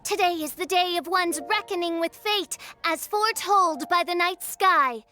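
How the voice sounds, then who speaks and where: High, bright, pompous teenage princess. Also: female voice, normal speed. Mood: grandiose. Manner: pompous, archaic theatrical diction. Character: grandiose self-styled teenage princess, melodramatic, easily flustered. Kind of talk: video game cutscene dialogue. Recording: background music under voice.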